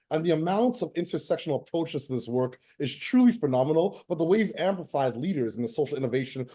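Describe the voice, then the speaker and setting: A male host opening and running an interview in a warm, ceremonious way, full of praise and polite "sir"s. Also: a little high. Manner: effusive, ceremonious, says sir often. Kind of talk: formal interview hosting.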